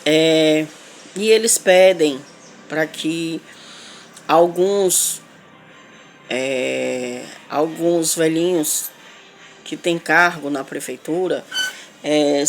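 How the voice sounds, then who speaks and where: A woman with a Northeastern Brazilian accent making an unscripted spoken complaint, halting and repetitive, with emphatic insistence. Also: low voice. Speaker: local resident making a video appeal. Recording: clear background noise, ambient sound.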